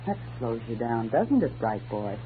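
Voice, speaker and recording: Sharp-tongued radio femme fatale. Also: female voice, very deep voice, very animated, moderate pace. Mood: angry, defiant. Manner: cool, cutting, taunting. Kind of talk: 1940s radio acting. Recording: tinny, noisy old radio recording.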